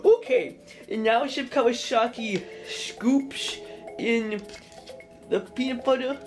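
A woman's voice: fairly high pitch, slow pace, music in the background. A young woman casually narrating a plush puppet cooking skit at home, rambling and jokey, correcting herself as she goes. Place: home kitchen and dining room.

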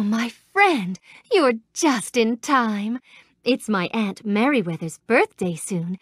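A woman voicing a gentle young princess in a game, with a soft, sweet, refined voice and a polite, gracious way of talking, recorded close in a studio. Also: on the high side, no room sound. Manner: polite, gracious, warmly grateful. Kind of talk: game voice clips. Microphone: close studio mic.

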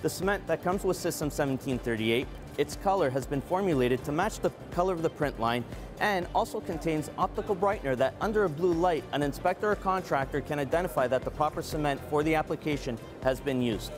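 A man in his forties, a product manager, giving a measured, earnest scripted product presentation at a trade show booth on a lapel mic. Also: on the high side, expressive. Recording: clean voice over background music.

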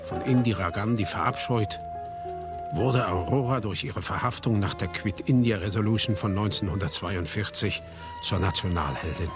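A man's voice: fairly low pitch, normal speed, over music. A man narrating a literary radio drama from a script.

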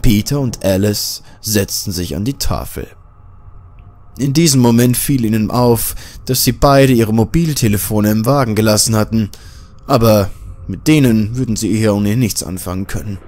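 A man's voice: lowish voice, normal speed, a little mellow. A man reading a scripted horror story as an audiobook narrator.

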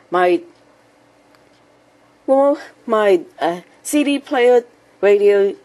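A woman with a cold and a speech impediment, giving a casual home tour in halting, choppy phrases. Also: fairly low pitch, darkish tone. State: has a cold, clearing throat. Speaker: amateur video blogger and hobby writer. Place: small home computer room. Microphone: handheld camera held by the speaker.

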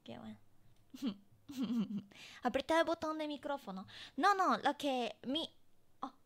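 A woman streamer chats playfully with her viewers, talking straight to them. Her voice sits high and swings widely in pitch, bouncing between teasing and chatter. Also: lively intonation, slow pace, a bit bright. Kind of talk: casual live-stream chat.

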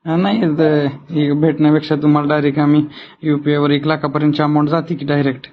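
A man's voice: on the high side, flat delivery, fast. A man talking on a recorded phone call in a probing, confrontational way. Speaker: phone caller. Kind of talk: phone conversation.

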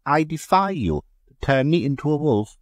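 A man's voice: fairly high pitch, very animated, normal speed, no echo, clean studio sound, voice pushed up. A man doing a teasing, daring young wife. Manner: provocative, daring.

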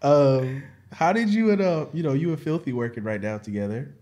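A man hosts a relaxed interview. He asks his questions in slang and keeps answering with easy words of agreement. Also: mid-range voice, normal speed. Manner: easygoing, affirming, slangy questions. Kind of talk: casual interview chat.

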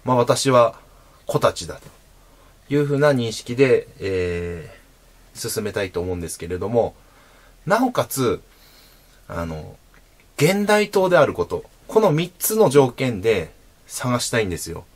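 A man in his thirties hosting his own channel, explaining sword types patiently to camera from a small room, with frequent fillers and pauses as he demonstrates. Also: medium pitch, sing-song. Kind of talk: casual explanatory talk to camera. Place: small indoor room at home.

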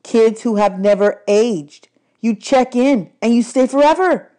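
A woman's voice: on the high side, a little slow, mellow, voice pushed up, natural voice. A woman voicing an anxious young boy hero in a storybook, emphatic and pressing. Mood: urgent.

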